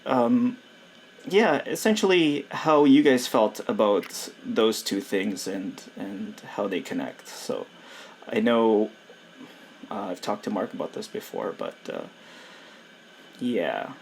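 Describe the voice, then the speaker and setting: Man, podcast host, slow, hesitant, many ums, faint hiss. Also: on the low side, very animated, poor audio. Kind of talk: casual chat.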